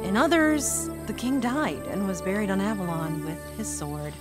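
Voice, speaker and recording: A woman's voice: medium pitch, lively intonation, normal speed, loud music behind the voice, close to an everyday voice. A woman playing a skeptical young journalist who explains and argues with exasperation, in a fan audio drama. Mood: explaining.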